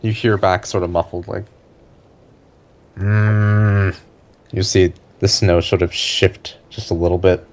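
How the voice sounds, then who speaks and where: A man narrating a tabletop game as game master, improvising suspensefully with pauses in mid-sentence. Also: low-pitched, steady tone.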